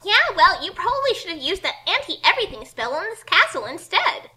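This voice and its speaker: Woman acting out young story heroine, very bright sharp voice, animated pitch, boastful, theatrical fan fiction reading. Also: fairly quick, heavily acted. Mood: smug. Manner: theatrical, over-explaining. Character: confident young heroine, boastful and dramatic, ranting.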